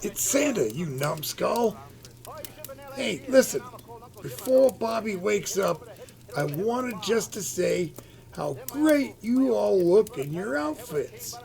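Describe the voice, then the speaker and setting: A man acting a gravelly, hearty Santa, slow-talking. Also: high-pitched, sing-song, darkish tone, exaggerated voice. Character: warm-hearted husband playing Santa for a young guest. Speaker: actor in an amateur audio play. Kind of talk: radio play acting.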